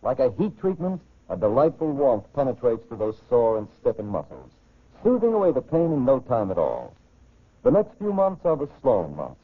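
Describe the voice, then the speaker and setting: A man reading a scripted advertisement as a 1940s radio commercial announcer. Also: mid-range voice. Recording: tinny band-limited 1940s radio recording.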